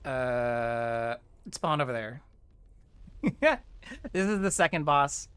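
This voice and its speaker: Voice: medium pitch, lively intonation, takes it slowly, fairly bright tone, clear, resonant tone. A chatty, informal streamer thinking aloud and explaining each step while playing through an online game. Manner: chatty, informal, mild swearing. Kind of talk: casual game walkthrough commentary.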